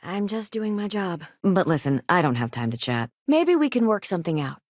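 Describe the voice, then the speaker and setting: A caring but tired colony doctor in a space game. Her voice sits a little low for a woman and moves with lively, expressive inflection. She is matter-of-fact and conversational, recorded very dry and close. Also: fairly quick, dry recording. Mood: firm, weary. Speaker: video game voice actor. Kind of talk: scripted game dialogue lines.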